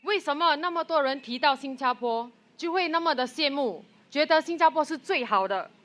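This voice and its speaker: High, bright woman at a rally. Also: expressive, brisk. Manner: earnest, rousing. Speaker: rally speaker. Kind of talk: political rally speech. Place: outdoor rally with loudspeakers.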